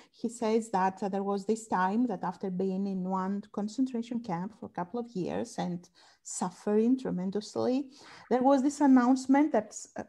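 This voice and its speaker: A woman's voice: medium pitch, darkish tone. A woman from Greece with a Greek accent, a family therapist answering interview questions thoughtfully and searching for words in English. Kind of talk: interview conversation.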